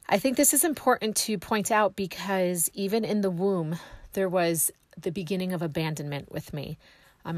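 A woman with a raspy, hoarse voice from a cold, leading a prayer and then sharing her personal testimony softly and hesitantly. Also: fairly low pitch, no room sound. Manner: soft, hesitant, full of ums and restarts.

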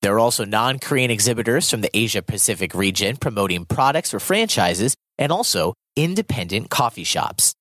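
A man narrating a scripted news report as the reporter, in a polished, lively delivery. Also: lowish voice, brisk.

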